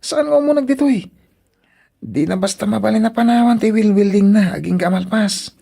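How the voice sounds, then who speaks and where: A man's voice: sits high, normal speed, gravelly, mellow, husky, heavily acted. A man playing a brazen secret lover in a radio drama, coaxing and insistent.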